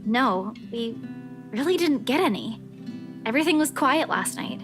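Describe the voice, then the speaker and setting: Woman playing a soft, high, timid young woman. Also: animated, moderate pace, music in the background, lightly acted. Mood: subdued, worried. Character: quiet, worried, timid young woman in a visual novel.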